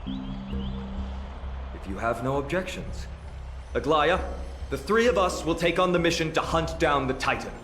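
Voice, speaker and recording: Lively, confident young warrior. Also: male voice, fairly high pitch, a little slow, pauses often. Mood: confident, friendly. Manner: courteous, self-assured. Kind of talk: English video game voice acting. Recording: echoey, music underneath.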